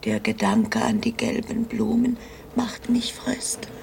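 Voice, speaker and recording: A woman playing an anxious, frightened old grandmother in a German radio play, her voice often sinking toward a breathy half-whisper. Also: mid-range voice, sing-song, a little slow, a little mellow. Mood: fearful.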